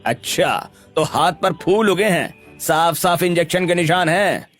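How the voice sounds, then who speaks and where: A man's voice: on the high side, a little slow, a bit bright, soft background music. A man voicing a jeering young male street bully in a cartoon story. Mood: mocking.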